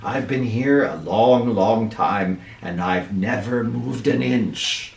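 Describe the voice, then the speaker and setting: A roomy, distant recording of a man acting out a storybook dragon, old and carved from wood. The voice is whispery and wistful, drawing its words out slowly with yearning. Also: on the low side, voice pushed down. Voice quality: whispery, breathy.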